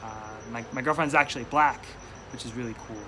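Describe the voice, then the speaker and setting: A young man with a light New York accent talks in a loose, rambling way, with plenty of ums and restarts. His voice sits in an ordinary middle register. Crickets and steady noise run under the recording outdoors. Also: medium pitch, quick pace. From upstate New York. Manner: rambling, hesitant, many fillers and restarts. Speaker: stand-up comedian, guest. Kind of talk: casual interview chat.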